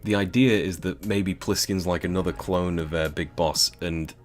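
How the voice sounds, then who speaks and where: A man's voice: deep voice, steady tone, moderate pace, faint music behind. A British man reading a game novel aloud and breaking off into casual, swearing commentary. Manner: chatty, swearing, laughs at own jokes.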